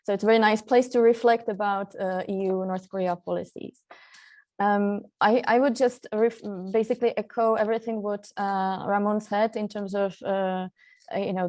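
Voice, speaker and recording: A woman's voice: mid-range voice, a little mellow. A woman from Central Europe speaking as a guest expert on a webinar panel over a video call, with many fillers and restarts.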